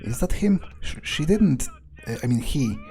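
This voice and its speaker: A man's voice: medium pitch, lively intonation, moderate pace, dark tone. A man roleplaying his game character aloud, musing to himself with cold, menacing understatement. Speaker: gameplay video player.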